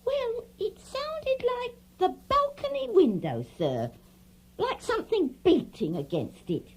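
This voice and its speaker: An actress playing a chatty, fretful, deferential housemaid in an audio drama. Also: female voice, very high voice, big pitch swings, takes it slowly, heavily acted. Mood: fussing, deferential. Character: fussy, talkative housemaid, deferential and kindly.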